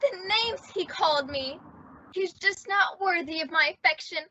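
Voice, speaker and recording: A woman's voice: very high-pitched, normal speed, pushed and bright, slight background noise. A woman playing an indignant, lovelorn teenage girl in an old-time radio comedy, histrionic and emphatic. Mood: wounded indignation. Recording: dull, lo-fi radio-play audio.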